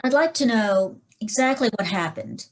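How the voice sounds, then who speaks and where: A woman playing a brisk, dry-witted male police inspector in a 1940s-style radio mystery. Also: mid-range voice, animated, poor audio. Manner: brisk, dry, matter-of-fact.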